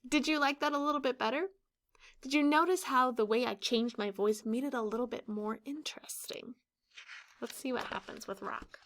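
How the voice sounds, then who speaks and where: A woman in her thirties, a teacher explaining a children's story with deliberate enunciation. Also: fairly high pitch, fairly bright tone. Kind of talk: instructional children's read-aloud. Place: small room indoors, classroom or office decor.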